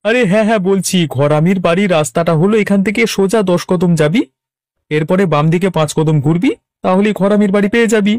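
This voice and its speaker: An actor voicing a gleeful, mocking village thief, sneering and cackling, in a cartoon. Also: male voice, high-pitched, fairly quick, a little mellow, no room sound, studio quality, natural voice. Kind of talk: Bengali cartoon voice acting.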